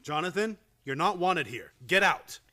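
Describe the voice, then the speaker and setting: A frantic, exasperated nephew, acted by a man in an old-time radio comedy thriller. His pitch swoops widely as he exclaims and sputters with theatrical horror at his relatives. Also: normal speed. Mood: angry. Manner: theatrical, excitable, gasping exclamations. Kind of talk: live old-time radio play acting.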